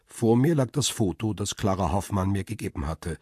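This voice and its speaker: Deep husky male narrator. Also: normal speed, no room sound, clean studio sound. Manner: calm, reflective, descriptive. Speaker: narrator and protagonist, a paparazzo. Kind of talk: first-person crime radio play narration.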